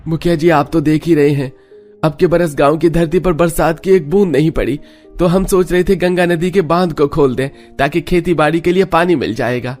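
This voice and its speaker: High-voiced brash village farmer played by a man. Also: moderate pace, a little mellow, faint music behind, close to an everyday voice. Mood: earnest.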